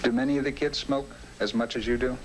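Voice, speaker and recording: A man's voice: medium pitch, fairly even intonation, fast talker, a little hiss or hum, low-quality recording. A man asking direct, probing questions as a documentary interviewer, on an old film soundtrack.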